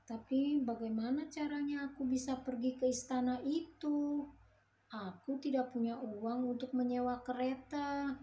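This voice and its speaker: Woman putting on a high, sweet young girl, soft and polite, in an echoey room. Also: steady tone, unhurried. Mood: grateful, worried. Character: gentle young kind-hearted girl.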